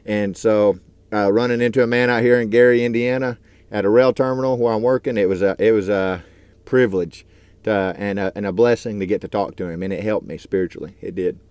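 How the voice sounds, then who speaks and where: Earnest low-voiced man in his thirties. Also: fairly even intonation, brisk, a little mellow, clear, resonant tone, no echo. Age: 30s. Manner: rambling, earnest, many fillers. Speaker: truck driver and YouTuber. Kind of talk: casual vlog talk. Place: inside his truck.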